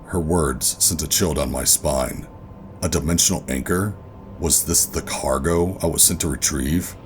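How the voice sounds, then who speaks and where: An actor playing a hardened, wary operative who narrates a sci-fi horror audio drama in a brooding, deliberate, terse way. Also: male voice, very deep voice, slight background noise. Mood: tense, brooding. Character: hardened covert operative narrating, adult man, wary and steady. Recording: music behind the voice.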